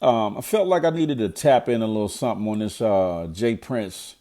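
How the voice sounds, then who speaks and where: A man's voice: fairly low pitch, normal speed, warm tone. A middle-aged man with a nasal, cold-stuffed voice, giving a blunt, preachy commentary monologue as a vlogger. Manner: blunt, preachy, repeats himself when fired up. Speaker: vlogger commenting on current events.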